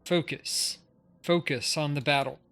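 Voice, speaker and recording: A livestreamer with a bright, forceful tone reads game dialogue aloud. The delivery is playful and dramatic, with faint music behind it. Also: mid-range voice, fairly even intonation, unhurried, smooth. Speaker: live streamer.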